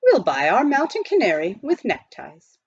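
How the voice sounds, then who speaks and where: A woman voicing a composed, confident, shrewd gentleman with measured phrasing in a storybook. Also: medium pitch, huge pitch swings, husky, no echo, muffled recording, voice pushed up, heavily acted. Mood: pleased, assured. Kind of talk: children's storybook character voice.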